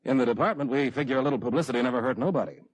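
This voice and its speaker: Man acting a fast-talking, gruff-edged police detective. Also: mid-range voice, no echo, close to an everyday voice. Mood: brisk, matter-of-fact. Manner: colloquial tough-cop talk. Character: brisk, plain-spoken male police homicide detective sergeant. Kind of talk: 1950s radio drama acting. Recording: dry vintage 1950s radio recording.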